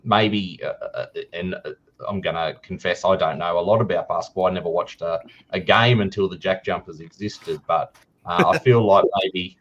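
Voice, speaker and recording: Young man, low deep voice, easygoing and hesitant, slightly dull lo-fi sound. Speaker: podcast co-host. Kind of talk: casual podcast chat. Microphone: desk mic on video call.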